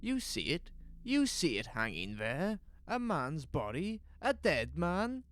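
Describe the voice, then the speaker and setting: Agitated small-town man, acted by a man, animated. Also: on the high side, slow pace, slight background noise, voice pushed up, heavily acted. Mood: alarmed, disbelieving. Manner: urgent, questioning. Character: alarmed, agitated small-town shop owner, horrified and insistent. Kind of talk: audio drama acting.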